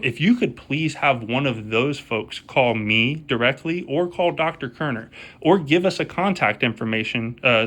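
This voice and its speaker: Male contractor, phone negotiation. Forward, bright tone, persistent and repetitive delivery. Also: medium pitch. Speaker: roofing contractor. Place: phone call.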